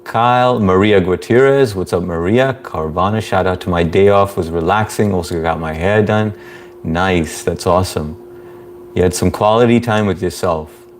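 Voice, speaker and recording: A very low-voiced man hosts a relaxed live stream, reading viewer comments aloud and shouting out names. His delivery is flat and monotone, broken by easy chuckles. A faint hum sits under the recording. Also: moderate pace. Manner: laid-back, reads chat aloud, chuckles often.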